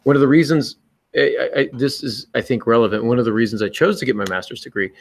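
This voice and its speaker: A man's voice: medium pitch, quick pace. A man hosting a podcast, casually explaining his views on writing in a conversational way. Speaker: podcast host and writer.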